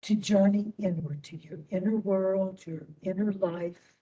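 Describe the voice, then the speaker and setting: A woman instructor leading a guided meditation in a soothing manner, with long pauses between short phrases. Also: mid-range voice, fairly even intonation, mellow, recorded from a distance, highs cut off.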